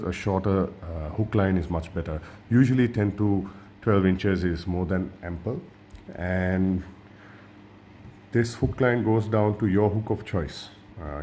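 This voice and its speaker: Low-voiced man teaching a fishing rig. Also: slight background noise. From Sri Lanka. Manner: relaxed, repeats words and restarts often. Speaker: fishing channel host. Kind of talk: hands-on tutorial.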